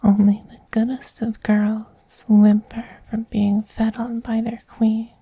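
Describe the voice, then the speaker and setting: A man voicing a doting, possessive femboy vampire queen in a soft, breathy whisper, slow, tender and gently commanding, as in an ASMR roleplay. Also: high-pitched, little pitch movement, no echo, full character voice. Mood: gently dominant.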